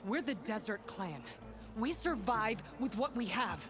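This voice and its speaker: A woman's voice: a little high, animated, unhurried, noisy background. An actress playing a stern female desert clan commander who speaks curtly and will not bend, in video game dialogue. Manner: curt, unbending.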